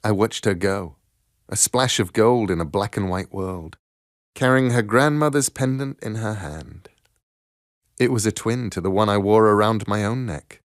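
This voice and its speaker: A man reading a short story aloud in a measured, expressive storytelling manner. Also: on the low side, no echo, studio quality. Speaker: storyteller, narrator.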